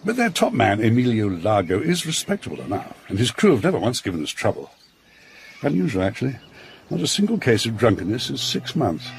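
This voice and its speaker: An actor playing a genial, complacent British colonial official in a radio drama, avuncular, clubby and animated. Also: male voice, medium pitch, brisk, gravelly. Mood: complacent, dismissive.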